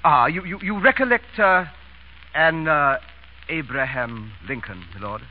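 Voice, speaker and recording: A flustered, formal English valet. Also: male voice, fairly high pitch, animated, unhurried. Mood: flustered resolve. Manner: formal, hesitant, stammering, very polite. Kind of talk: 1940s radio comedy acting. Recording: muffled, hissy old radio broadcast.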